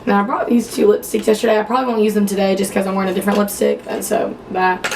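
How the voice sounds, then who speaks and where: A young woman in her late teens talks straight into a handheld phone camera, rambling through her day and packing in "like" at every turn. Her voice is a little hoarse and worn from losing it, sitting at a middling pitch. Faint ambient noise stays low behind her. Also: flat delivery, racing. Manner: chatty, rambling, many "like" fillers. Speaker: college freshman vlogger. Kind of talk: casual vlog.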